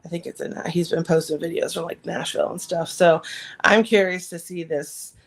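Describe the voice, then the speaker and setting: Slightly raspy woman chatting casually. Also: fairly low pitch, fairly even intonation, moderate pace. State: slightly raspy after anesthesia. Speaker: podcast co-host. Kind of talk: casual chat.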